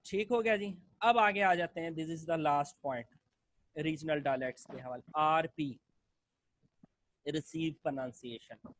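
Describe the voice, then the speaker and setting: A man's voice: a little high, measured pace, fairly bright tone, no room sound, poor audio. A male lecturer explains a topic in a classroom style, repeating phrases in long lists and mixing in English terms.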